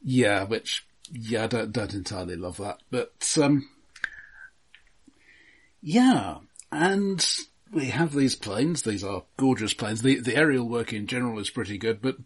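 A man's voice: medium pitch, lively intonation, unhurried, husky. A man co-hosting a casual film discussion, precise and explanatory with a dry wit. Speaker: film podcast co-host.

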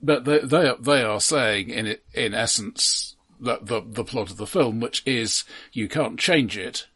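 A man discusses films as a podcast co-host in a mid-pitched voice. His delivery is considered and halting, often repeating a word before he moves on, and the tone is that of casual analysis. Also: bright, forceful tone, breathy edge.

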